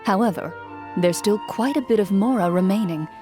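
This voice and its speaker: A woman narrating a fantasy quest in the second person with measured storytelling. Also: medium pitch. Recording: with music behind.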